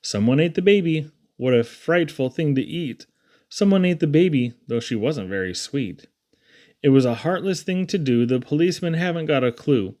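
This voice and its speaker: A man with a moderately high-pitched voice reads comic poetry for children. His delivery is expressive and theatrical, with a playful storyteller's feel. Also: moderate pace. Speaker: poetry reader. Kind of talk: expressive reading of humorous children's verse.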